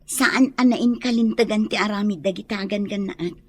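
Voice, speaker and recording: An actress playing a concerned, protective elder-sister figure in a Filipino radio drama. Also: female voice, medium pitch, moderate pace, breathy edge, lightly acted. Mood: concerned, cautioning.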